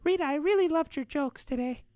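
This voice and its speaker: A squeaky little boy with a very high, thin voice, chirping eager and polite greetings, as a grown man puts him on. The voice sits far above a man's normal range. It sounds dry and close. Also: fairly quick, a little mellow, dry recording. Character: his own younger self as a squeaky boy.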